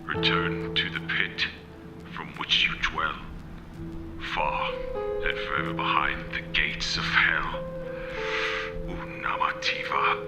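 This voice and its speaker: Ominous occult chanter, extremely low register, slow solemn delivery, breathy half-whisper, horror radio play, loud music. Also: male voice, level tone, low-quality recording. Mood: ominous chanting. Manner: solemn, drawn-out incanting. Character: ominous man on a record chanting ancient banishing incantations. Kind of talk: horror audio drama, recorded message.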